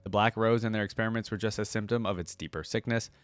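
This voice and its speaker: A man's voice: on the low side, fairly even intonation, fast talker, no echo. A man hosting a reaction video, reading story lore aloud and breaking into casual commentary.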